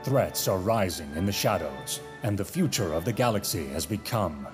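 A man's voice: sits low, expressive, normal speed. A man reading scripted narration and credits as the announcer of an audio drama, in a dramatic style. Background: orchestral film music.

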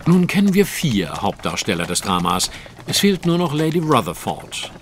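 An actor playing a cool, analytical and self-assured detective in a German audio drama, with precise, formal diction. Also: male voice, medium pitch, wildly swooping pitch, moderate pace, soft background music.

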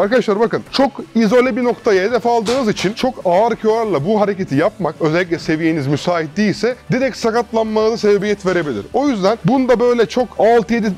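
A young man in his twenties, a fitness coach giving a casual, energetic workout tutorial in a large gym, chatting to viewers like friends on a lapel mic. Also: high-pitched.

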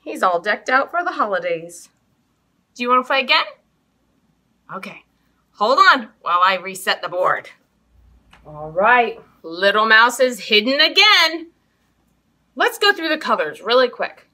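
An American woman, likely in her thirties, hosts children's storytime indoors with an expressive, sing-song delivery, speaking to young children. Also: on the high side, unhurried, long pauses. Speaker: children's library storytime host. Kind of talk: children's storytime, interactive games and picture book reading. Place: small indoor room hung with fabric drapes.

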